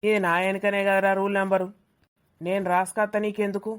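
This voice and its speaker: A woman's voice: medium pitch, flat delivery, fairly quick, lightly acted. Woman playing a cheeky teasing young Telangana lad. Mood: boastful, mocking. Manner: mocking, teasing, colloquial. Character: cheeky young male student, teasing, boastful exam cheat. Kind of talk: village comedy cartoon dialogue.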